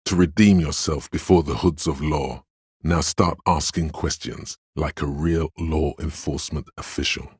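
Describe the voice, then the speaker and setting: An actor playing the inner voice of authority in a video game, a proud, domineering man speaking with deliberate, imperious command. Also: extremely low pitch, a little mellow, husky, no echo, studio quality, exaggerated voice. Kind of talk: scripted video game voice acting.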